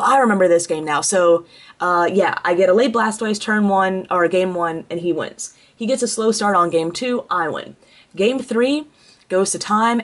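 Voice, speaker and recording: A young woman with a head cold and a congested voice, chatting casually through a tournament recap, full of ums and self-corrections. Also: on the low side. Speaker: card game player recapping a tournament. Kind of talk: casual spoken tournament report.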